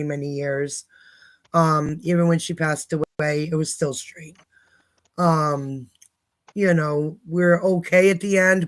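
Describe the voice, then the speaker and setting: Hoarse woman livestream host. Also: deep voice. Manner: rambling, many fillers, frequent laughs. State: hoarse, losing her voice. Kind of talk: candid personal talk about recovery. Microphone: phone.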